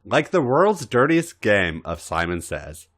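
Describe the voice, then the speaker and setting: A smug, controlling male lover in a read-aloud story, acted by a man. He is wry and articulate, teasing with measured phrases and very expressive swings of pitch. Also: exaggerated voice.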